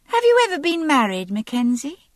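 A spoilt aristocratic teenage girl performed by a woman narrator for an audiobook. She is imperious and petulant, pushing her demands in a raised pitch that swoops freely. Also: huge pitch swings, normal speed, no room sound. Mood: coy, needling.

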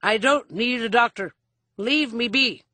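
A woman's voice: high-pitched, measured pace, dry recording, voice pushed up. A woman acting out a shrieking, bitter drunken old man. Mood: cranky. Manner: cranky, argumentative, shouting. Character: belligerent drunken older man, enraged and bitter. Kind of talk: audiobook character acting.

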